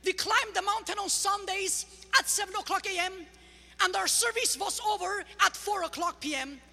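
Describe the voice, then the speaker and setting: High bright Armenian-accented woman preacher. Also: normal speed, vocal fry. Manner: lively, humorous, teaching the crowd. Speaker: guest speaker. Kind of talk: church testimony. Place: large church sanctuary. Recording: large church hall reverb with faint music.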